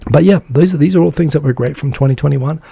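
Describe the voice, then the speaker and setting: A man's voice: a little high, fast, gravelly, dark tone, husky, no room sound. A man hosting a live stream, chatting casually and with enthusiasm, rambling with lots of fillers as he reads out and answers viewer comments. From English-speaking country. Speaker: live show host.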